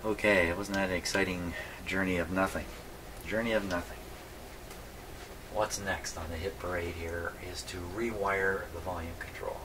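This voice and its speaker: A man's voice: deep voice, steady tone, moderate pace. A man thinking aloud casually as he narrates radio repair work in his workshop, musing and wryly self-deprecating. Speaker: radio repair hobbyist, host. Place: indoor electronics repair workshop. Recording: clear background noise in workshop.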